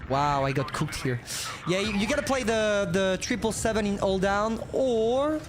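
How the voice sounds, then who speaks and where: High-voiced French guy streaming a game. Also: animated, moderate pace, music in the background. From France. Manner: casual, rambling, talks to himself. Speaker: gaming streamer. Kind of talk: live gameplay commentary, chatting with viewers. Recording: noisy, glitchy mic cutting out.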